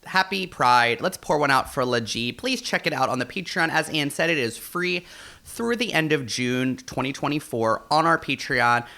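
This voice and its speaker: A man with a very bright, forward voice co-hosts a podcast. He talks in a casual, slangy way, gushing and dropping "like" into his sentences. His pitch sits in the middle for a man. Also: animated, normal speed. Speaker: podcast co-host. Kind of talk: casual chat.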